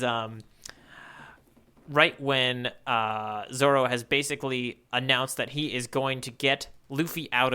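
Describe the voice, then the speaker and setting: A man's voice: medium pitch, sharp tone, smooth. A man co-hosting a podcast, chatting casually and playfully about comic panels. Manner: playful banter. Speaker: podcast co-host.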